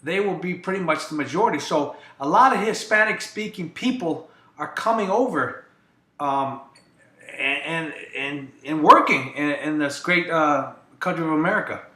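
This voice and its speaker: A man's voice: fairly high pitch, lively intonation, normal speed, sharp tone, roomy sound. A man whose first language is Greek, a guest on a talk show, speaking hesitantly with many fillers and restarts. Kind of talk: casual radio talk.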